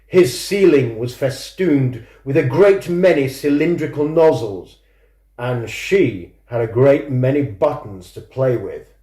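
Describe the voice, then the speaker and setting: A man reads literary fiction as an author presenting his own work. His delivery is slow and expressive, with lively swings in pitch and a mellow tone. The sound is roomy and echoing. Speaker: author introducing and reading own book. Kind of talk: scripted introduction and literary story reading.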